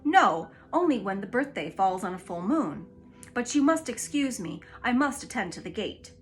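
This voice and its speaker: A woman voicing a huge male bear who keeps the gate, gruff, slow and stern. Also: mid-range voice, very animated, faint music behind, natural voice.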